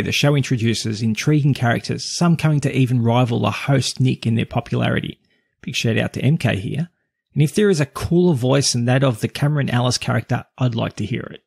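Husky, creaky man reviewing on a podcast. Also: medium pitch, no room sound, studio quality. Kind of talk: scripted podcast review narration.

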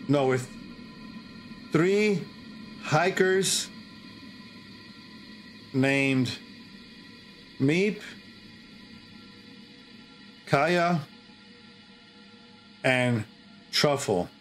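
Slow-talking middle-aged man over music. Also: on the high side, animated. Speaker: livestream host and storyteller. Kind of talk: casual livestream chat and horror story reading. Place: indoor streaming setup.

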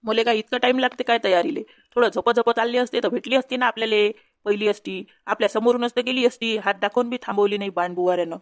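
A woman voicing a grumbling, sharp-tongued village mother-in-law in a comedy cartoon, with a Varhadi Marathi accent. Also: a little high, steady tone, quick pace, no room sound, studio quality, lightly acted. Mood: grumbling, mocking. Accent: Varhadi Marathi from Vidarbha. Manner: griping, gossipy, insulting. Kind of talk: comedy cartoon dialogue in rural dialect.

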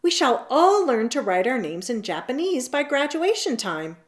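A woman's voice: sits high, sing-song, moderate pace, pitched above the performer's own voice. A woman voicing a kindly, encouraging female animal schoolteacher from a storybook, announcing things proudly.

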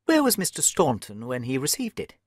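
Man reading as a brisk, probing detective. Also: mid-range voice, huge pitch swings, dry recording, clean studio sound. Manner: crisp, probing questions. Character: keen, brisk male detective.

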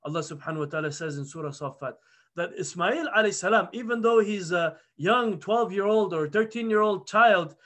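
A man's voice: sits high, fairly quick, a bit bright. A man in his thirties teaching a halaqa, earnestly retelling a prophet's story with frequent restarts, recorded on a webcam indoors. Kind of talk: storytelling religious lesson. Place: plain indoor room. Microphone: webcam video call.

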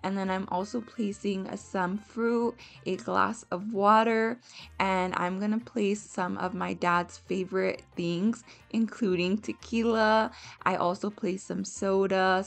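A young woman talks her viewers through her project in a friendly, chatty voice-over. Her voice sits at an ordinary pitch with a gravelly, fry-tinged edge. Soft music plays faintly underneath. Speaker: home vlogger. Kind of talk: voice-over narration of a cooking and decorating video. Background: soft background music.

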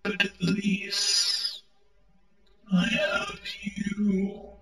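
A man performs a mournful, self-loathing robot prince from a war-torn land in an amateur audio drama. The voice is high and bright, and it moves extremely slowly in halting, melodramatic phrases. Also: expressive, echoey room, heavily acted. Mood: sorrowful, pained. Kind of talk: dramatic amateur character monologue.

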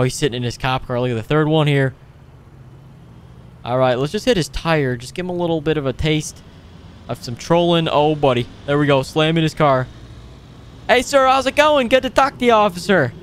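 A man hosting a gaming video with casual, playful roleplay commentary, excitable and given to exclaiming. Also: a little high, normal speed, long pauses, slight background noise. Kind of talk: casual improvised roleplay commentary over gameplay.

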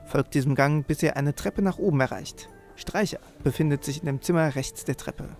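A man with a creaky voice hosting a let's play, commenting on the game and reading quest text aloud. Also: fairly high pitch, normal speed, darkish tone. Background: game music.

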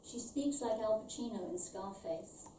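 A middle-aged woman reads a short story aloud at a measured, deliberate pace of delivery. Her tone is very dark and mellow. She is heard a little way off in an echoey room with slight noise. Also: medium pitch, lively intonation. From born in Ireland, raised in London. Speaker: author reading her own short story. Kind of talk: scripted literary reading aloud. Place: small event venue with stage, indoors. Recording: roomy, distant, faint background noise.

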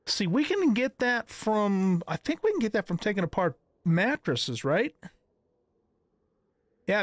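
Higher-pitched man giving folksy game commentary. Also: dry recording. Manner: folksy, thinking aloud, exclaiming. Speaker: gaming channel host. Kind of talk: casual let's-play commentary. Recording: clear background noise with clicks.

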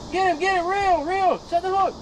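A man with a South Louisiana accent coaching children as they fish from a small boat outdoors, often calling out quick instructions. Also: very high-pitched, fairly even intonation. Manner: urgent coaching shouts. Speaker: dad coaching kids at fishing. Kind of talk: casual outdoor chat. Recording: loud outdoor noise, vehicle hum.

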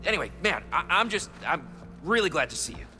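A friendly young villager in a fantasy video game. His voice is bright and a little high, with very lively pitch movement. He talks eagerly and chattily. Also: brisk, soft background music, exaggerated voice. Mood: excited, glad. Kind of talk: video game dialogue acting.

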